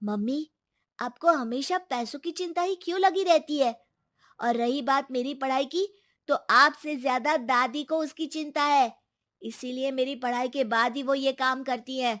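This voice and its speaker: Woman voicing a high-pitched, earnest young boy. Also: measured pace, fairly bright tone. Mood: defiant. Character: young grandson, earnest, stubborn, devoted to his grandmother. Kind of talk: scripted animated moral story dialogue.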